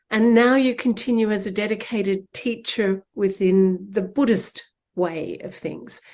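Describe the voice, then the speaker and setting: A woman hosting an unscripted conversational interview in an affirming, gently reflective way. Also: mid-range voice. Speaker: interview host.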